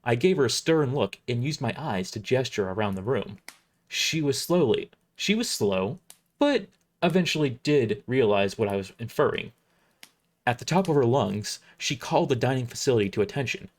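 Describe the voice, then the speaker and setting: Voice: medium pitch, lively intonation, moderate pace, close to an everyday voice. A performer voicing an irritable, imperious military starship admiral, narrating an audio drama in formal, wordy first person with stumbles over the script. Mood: irritated, haughty.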